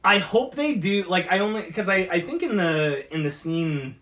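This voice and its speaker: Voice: mid-range voice, animated, normal speed, fairly bright tone. A talk show host chatting casually in a rambling, hesitant way. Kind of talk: casual chat. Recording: distant mic.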